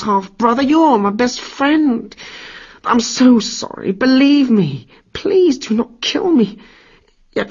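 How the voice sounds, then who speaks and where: A cowardly trickster god wheedles and whines in a high, pleading voice, as a man acts him out. The husky sound has a dull, lo-fi storybook quality with faint music under it. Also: heavily acted.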